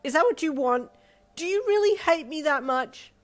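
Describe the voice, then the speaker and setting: A woman voicing a cruel, embittered mother who screams blame at her daughter, in a shrill, vicious shout. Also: expressive, moderate pace. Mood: furious, spiteful.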